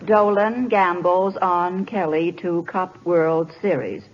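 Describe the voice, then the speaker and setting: A gentle, worried mother in an old radio play. She speaks hesitantly to her family. The recording is dull and muffled. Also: on the low side, takes it slowly, slight background noise. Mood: puzzled. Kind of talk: 1940s radio acting.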